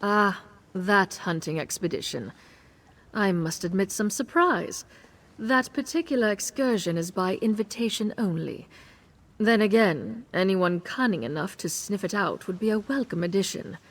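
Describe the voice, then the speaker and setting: A woman's voice: mid-range voice, lively intonation, normal speed, natural voice. Lady Gisiliane, a haughty noblewoman, as a woman voices her. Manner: imperious, condescending. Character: haughty noblewoman who hosts cruel hunts. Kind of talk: video game voice acting.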